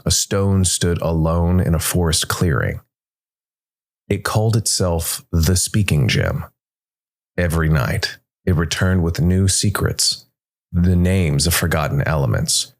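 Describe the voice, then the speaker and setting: A man's voice: low-pitched, gravelly. A man hosting a podcast and reading scripted story narration.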